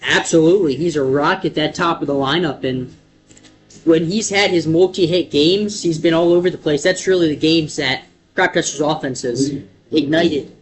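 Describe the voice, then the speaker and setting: A man's voice: fairly high pitch, normal speed. A man giving conversational baseball analysis as a color commentator on a radio broadcast.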